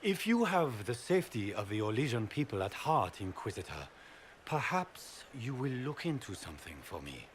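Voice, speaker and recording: An actor playing a proud, scheming noble duke in a video game, with formal, courtly, theatrical speech. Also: male voice, medium pitch, big pitch swings, normal speed, a little hiss or hum, close to an everyday voice. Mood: grave, conspiratorial.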